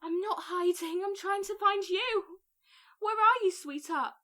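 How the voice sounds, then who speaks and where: A woman's voice: extremely high pitch, unhurried, bright, forceful tone, exaggerated voice. A woman playing a distraught, protective young mother in an audio drama, emotional and pleading. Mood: soothing, pleading.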